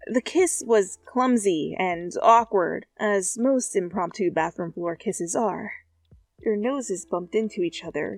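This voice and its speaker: A woman's voice: mid-range voice, no echo. Young woman reading fanfic ASMR. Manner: casual, swears and laughs in asides. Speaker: fanfic reader. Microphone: close studio mic. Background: soft music.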